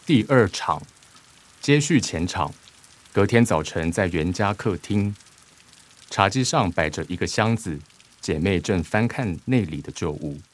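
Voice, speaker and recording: A man's voice: low-pitched, animated, measured pace. A man reading stage directions as narrator of a radio drama.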